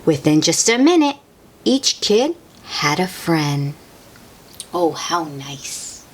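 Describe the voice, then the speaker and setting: A woman reading a picture book aloud to young children in an expressive, sing-song way, with chatty asides to the listeners. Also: low voice, slow, a little hiss or hum. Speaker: children's read-aloud host.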